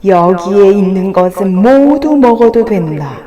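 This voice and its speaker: A woman's voice: mid-range voice, lively intonation, roomy sound, crowd noise, close to an everyday voice. A woman voicing a solemn, commanding and benevolent God in a children's Bible story. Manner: slow, solemn, declarative.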